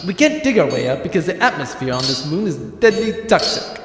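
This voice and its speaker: A man's voice: on the high side, big pitch swings, a little fast, noisy background. A man playing a haughty, scheming space major in a comedic sci-fi audio drama, pompous and sarcastic. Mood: guarded, grudging. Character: haughty male space-major rival, grudging, scheming rogue. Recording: echoey reverberant room, music underneath.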